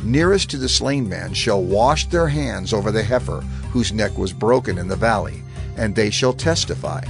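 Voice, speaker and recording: A man narrating scripture in a measured, formal way for an audio drama. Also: lowish voice, animated, gravelly. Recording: studio narration over music.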